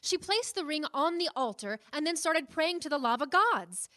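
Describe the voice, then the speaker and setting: A woman's voice: sits high, animated, moderate pace, pushed and bright, no echo, clean studio sound. A woman playing a feisty, exasperated member of an adventuring team in a radio comedy, indignant and protesting.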